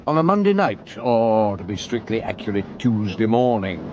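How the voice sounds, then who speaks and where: A dry, wry police chief inspector. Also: male voice, lowish voice, very animated, moderate pace, darkish tone, lo-fi sound, slight background noise. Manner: dry, wry, understated storytelling. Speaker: narrator and lead detective. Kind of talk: radio drama acting.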